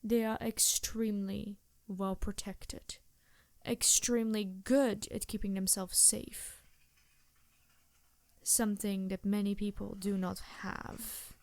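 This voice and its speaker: Woman as scheming succubus ally for intimate ASMR roleplay: soft-spoken, seductive, unhurried, very close and dry sound. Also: mid-range voice, no room sound. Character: scheming female succubus demon, seductive, reluctant but loyal ally.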